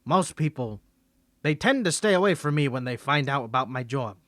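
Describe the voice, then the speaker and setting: A man's voice: mid-range voice, animated, moderate pace, fairly bright tone, dry recording, studio quality, close to an everyday voice. A man playing a suave, flirty mafia boss in a cartoony 1950s voice, speaking politely and self-assuredly in a scripted roleplay. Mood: courteous, flirty.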